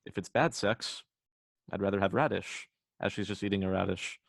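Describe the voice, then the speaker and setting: A young man co-hosting a podcast, joking with dry, wry humor. His voice sits on the low side. He sounds close to the mic, with no room sound. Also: fairly even intonation, moderate pace, vocal fry, no room sound. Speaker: podcast co-host. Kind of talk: casual chat.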